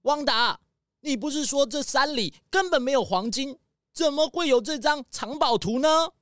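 A woman's voice: high-pitched, normal speed, lightly acted. A woman voicing a righteous, easily astonished young detective's companion in a children's audio drama, earnest and exclaiming. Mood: questioning.

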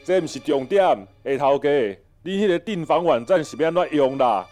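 A man's voice: on the high side, expressive, fairly quick, a little mellow, faint music behind, lightly acted. A man with a Taiwanese accent playing a brusque, impatient, grumbling guest in a comedic audio drama.

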